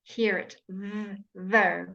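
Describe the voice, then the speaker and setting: A woman's voice: medium pitch, steady tone, vocal fry, muffled recording. A woman teaching English pronunciation in an online lesson, exaggerating sounds so they can be heard. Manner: slow, careful, exaggerated articulation.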